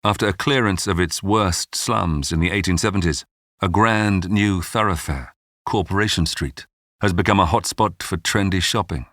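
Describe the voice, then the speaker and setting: A man hosting and narrating a scripted history documentary in a measured, dramatic storytelling manner. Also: sits low, a bit bright, dry recording, studio quality. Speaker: documentary podcast narrator and host. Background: background music.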